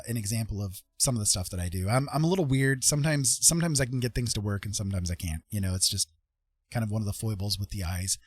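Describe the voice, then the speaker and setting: A man's voice: on the low side, no room sound. A man hosting a livestream in casual chat with viewers, effusive with his thanks. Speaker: livestream host. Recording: music playing behind the voice. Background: music.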